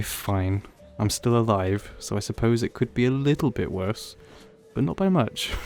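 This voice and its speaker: A man's voice: lowish voice, dark tone, natural voice. A man playing an awkward, wistful young old friend in an audio roleplay, talking hesitantly and rambling in a self-deprecating way. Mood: sheepish, resolute. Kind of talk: audio roleplay, conversational acting addressed to listener.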